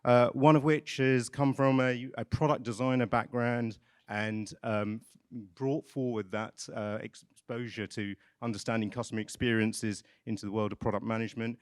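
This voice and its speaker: A man's voice: mid-range voice, level tone, normal speed, clean tone. Joking man introducing speakers, many fillers. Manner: jokey, hesitant with many fillers. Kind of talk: conference introduction. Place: large conference hall.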